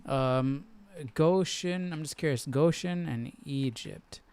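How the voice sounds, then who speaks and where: A man hosting a Bible study stream in casual, slangy chat, halting as he thinks aloud. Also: on the high side, darkish tone, clear, resonant tone. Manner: informal, slangy, halting as he works things out.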